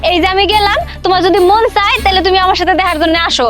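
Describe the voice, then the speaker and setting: Earnest, pleading young wife, speech, high and fast, acted by a woman. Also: fairly even intonation, a bit bright, soft background music. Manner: pleading, insistent. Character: put-upon young village wife, earnest and insistent. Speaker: young wife, daughter-in-law.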